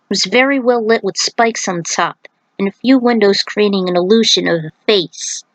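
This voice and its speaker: A woman's voice: mid-range voice, normal speed, dry recording, rough recording. Woman reading her own story, dry sound. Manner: informal, stumbles over words and corrects herself. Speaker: fan fiction writer and narrator. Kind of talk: amateur story reading with casual author commentary.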